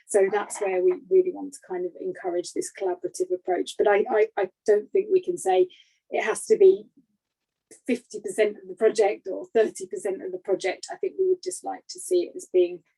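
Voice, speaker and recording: Woman on a work call, husky n croaky, soft dark tone, explaining things carefully. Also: medium pitch, poor audio. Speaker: grant programme lead answering questions. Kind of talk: unscripted explanation on an online information call. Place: online call.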